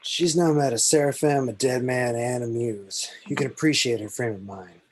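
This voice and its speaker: A man playing a blunt, wry dead apostle with a slightly husky voice, in a script table read. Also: medium pitch, normal speed, darkish tone, a little hiss or hum, natural voice. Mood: matter-of-fact.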